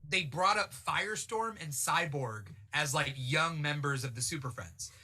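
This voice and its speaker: A man's voice: fairly high pitch, animated, measured pace, pushed and bright, breathy edge. A man hosting a casual, chatty panel discussion about comic book films. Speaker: show host.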